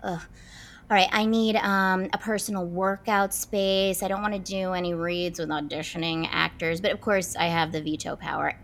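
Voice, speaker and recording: Self-absorbed stage diva: sharp bright voice, imperious, theatrical, demanding. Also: female voice, lowish voice, fairly even intonation, normal speed, slight background noise. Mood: entitled, demanding. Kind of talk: comedic audio drama acting.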